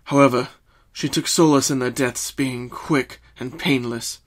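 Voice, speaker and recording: A man reading a scripted story as narrator, in a solemn, deliberate and dramatic way. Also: medium pitch, fairly even intonation.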